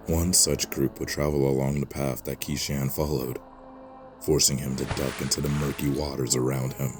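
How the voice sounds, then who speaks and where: Extremely deep-voiced male fantasy narrator. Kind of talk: scripted dramatic fantasy narration. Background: music under the voice.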